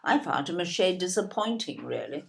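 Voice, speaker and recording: An imperious older British wife, swooping voice. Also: female voice, lowish voice, sing-song, moderate pace, vocal fry. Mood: disdainful. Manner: genteel, fussy, disparaging. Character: imperious, snobbish, self-absorbed older wife and mother. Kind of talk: audio play acting.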